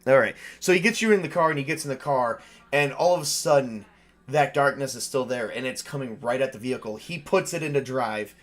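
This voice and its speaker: Man, medium pitch, game master, casual tabletop narration, informal, improvising. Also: fairly quick, a bit bright. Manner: informal, improvising with mild swearing.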